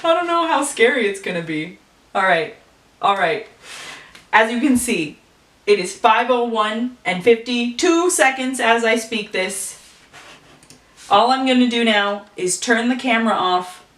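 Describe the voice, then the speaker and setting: A woman's voice: fairly high pitch, lively intonation, measured pace, pauses often, fairly bright tone. A woman casually making up and telling a story, chatty and thinking aloud. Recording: roomy echo from a distance, faint music.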